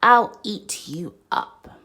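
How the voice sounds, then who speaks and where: Female reader as defiant storybook boy ruler, bossy and wild, drawn-out commands, animated pitch. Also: gravelly, full character voice. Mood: bold, defiant.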